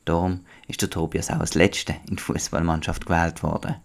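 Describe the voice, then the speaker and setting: Deep-voiced Swiss German male narrator. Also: level tone, a little fast, gravelly. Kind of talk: scripted children's story narration.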